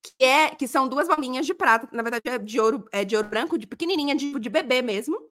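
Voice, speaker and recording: A woman in her thirties with a Brazilian accent, telling stories in a chatty, animated way as a podcast co-host. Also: high voice, quick pace. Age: 30s. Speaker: podcast co-host and football broadcast journalist. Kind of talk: casual podcast chat.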